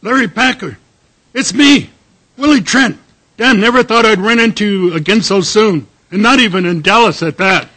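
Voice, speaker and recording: A man acting a brash, emphatic travelling businessman in a radio drama, with a sharp, raspy voice. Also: high-pitched, normal speed. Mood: surprised, insistent.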